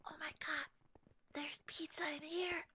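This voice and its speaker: High-pitched nervous fox sidekick played by a woman. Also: level tone, unhurried, background noise. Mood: uneasy. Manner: anxious, repetitive, hesitant. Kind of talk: improvised Minecraft roleplay.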